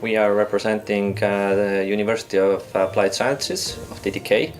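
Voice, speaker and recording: A man from Estonia with a low, flat voice introduces his team, hesitating between phrases. He sounds distant from the mic, with faint music behind. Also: normal speed. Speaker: team lead.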